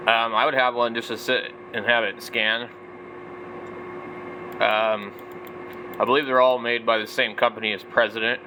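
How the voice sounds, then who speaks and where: A man's voice: medium pitch, little pitch movement, normal speed, long pauses, background noise. A man in his forties giving casual, off-the-cuff product commentary, rambling and thinking aloud. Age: 40s. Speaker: vlogger, radio hobbyist.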